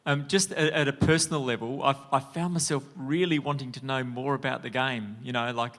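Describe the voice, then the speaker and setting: A man on a judging panel giving feedback on a pitch, speaking in a measured, halting way with many fillers. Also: steady tone, fairly bright tone. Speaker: judge.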